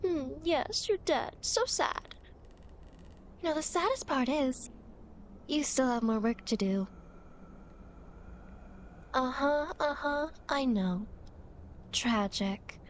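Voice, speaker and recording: A woman's voice: high voice, expressive, slow pace, pauses often, slight background noise. A woman playing a playful, teasing demon girl who guides dead souls, in a coy, dramatic ASMR roleplay. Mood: mock sympathy. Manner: coy, mischievous, mock-sympathetic, dramatic. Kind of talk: ASMR roleplay, acted monologue to the listener.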